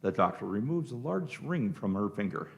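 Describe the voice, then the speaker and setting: Male narrator for radio drama, gravelly and lively, scripted stage directions. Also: lowish voice, normal speed.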